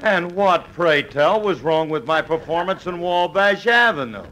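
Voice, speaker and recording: An actor playing a boastful, slangy bandleader sidekick in a 1950s radio comedy. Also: male voice, high-pitched, expressive, measured pace, bright, forceful tone, heavily acted. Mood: cocky. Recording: very noisy vintage radio recording.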